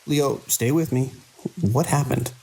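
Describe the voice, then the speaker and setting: A man playing a weary, caring middle-aged hospital director in an audio drama, measured and dry with small hesitant pauses. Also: mid-range voice, gravelly, a little mellow, rough recording. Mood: concerned.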